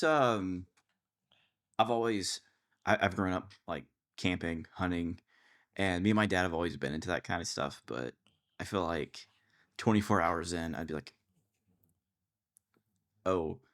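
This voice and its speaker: A man's voice: low-pitched, moderate pace, pauses often. A man co-hosting a podcast, chatting casually and informally with a friend. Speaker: podcast co-host.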